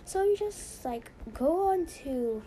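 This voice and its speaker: A woman's voice: very high voice, lively intonation, slow, warm tone, faint music behind. A young woman hosting a casual podcast, chatty and rambling with lots of "so yeah". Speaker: podcast host.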